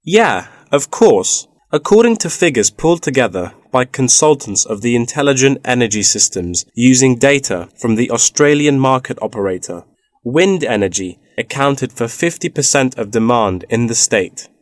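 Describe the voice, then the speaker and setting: An actor plays a knowledgeable man in a scripted listening-test dialogue. His voice sits at a medium pitch, with a measured, informative delivery. The recording is dry, with no room sound. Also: very animated, no room sound, close to an everyday voice. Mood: explanatory. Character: knowledgeable man explaining wind farm technology.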